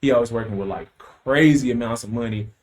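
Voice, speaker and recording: A man is chatting on a casual podcast. He tells stories with loose slang, swearing and lots of "uh" and "like". His voice sits at an ordinary middle pitch. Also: fast talker. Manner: animated storytelling, loose slang and profanity, frequent fillers. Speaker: podcast host.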